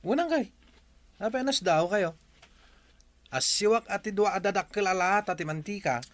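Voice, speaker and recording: A man's voice: high voice, normal speed, natural voice. A man playing a polite young nephew in a comedic radio drama, speaking with an Ilocano accent. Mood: helpful.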